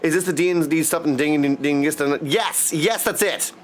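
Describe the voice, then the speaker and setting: A man's voice: a little high, normal speed, loud music behind the voice. A man livestreaming casually, greeting viewers with effusive affection as he chats. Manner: effusive affectionate greetings, often addressing listeners as dudes. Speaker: live streamer greeting chat.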